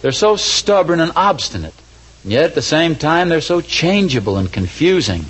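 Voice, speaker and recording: A man's voice: fairly high pitch, very animated, normal speed, fairly bright tone, slight background noise, low-quality recording. An actor playing a scheming, mildly exasperated husband and father in an old-time radio comedy, chatty and mock-earnest. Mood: wry grumbling.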